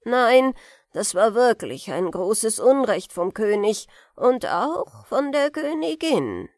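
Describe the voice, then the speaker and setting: Woman voicing a slow, humble old beggar. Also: on the high side, very animated, a little mellow, no echo, clean studio sound. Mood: earnest. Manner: hesitant, drawn-out, deferential. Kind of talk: fairy-tale audiobook character.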